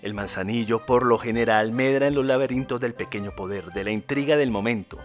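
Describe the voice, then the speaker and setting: A man narrates a scripted radio documentary. His pitch is mid-range for a man, and light music plays behind him. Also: a little fast.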